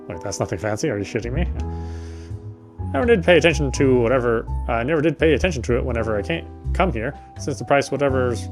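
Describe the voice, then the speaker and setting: A man's voice: medium pitch, vocal fry. A man reading visual novel text aloud as he plays, stumbling and rereading now and then with casual asides. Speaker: game streamer reading aloud.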